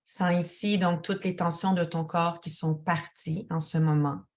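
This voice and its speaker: Female guided-meditation voice, lowish register, very unhurried delivery, calm and instructional. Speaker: yoga teacher guiding a relaxation. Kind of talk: guided meditation, addressing one listener.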